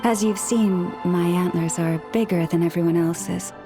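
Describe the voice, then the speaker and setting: A woman voicing a gentle, dignified priestess with antlers in a video game, speaking in a polite, formal and measured way. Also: lowish voice, over music, natural voice. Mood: polite, composed.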